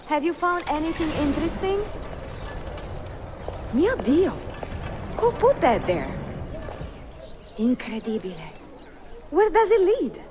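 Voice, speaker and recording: An actress playing a cultured, curious young bookseller in a game cutscene, speaking expressively with an Italian accent. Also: female voice, sits high, sing-song, slow, long pauses, noisy background, full character voice. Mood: surprised. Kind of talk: dramatic video game cutscene acting. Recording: clear music behind the voice.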